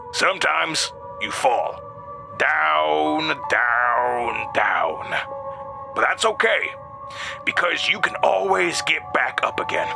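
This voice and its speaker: A man voicing an ominous, theatrical school principal with a smoker's rasp, preaching in an audio drama. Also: fairly high pitch, pitched above the performer's own voice, heavily acted. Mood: ominous, intense. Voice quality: gravelly smoker's voice. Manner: slow preaching, swelling from whispers to shouts.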